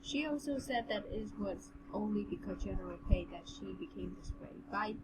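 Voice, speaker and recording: Amateur female story narrator, mid-pitched, reading fantasy prose, very noisy home recording. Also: animated, normal speed. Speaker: co-host and narrator of a novel reading. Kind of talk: amateur narration of a fantasy novel with casual commentary.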